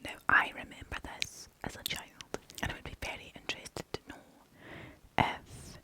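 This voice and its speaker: A storyteller reading folk tales in a breathy, unvoiced ASMR whisper, gently and expressively. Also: normal speed. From United Kingdom.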